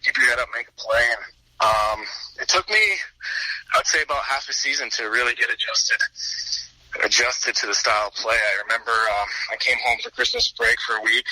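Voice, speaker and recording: A man's voice: mid-range voice, steady tone, fairly quick, sharp tone, dry recording, low-quality recording. A man in his twenties from the Washington DC area, a pro hockey player telling his story easygoingly in a podcast interview, repeating words as he thinks. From Washington DC area, United States. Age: 20s.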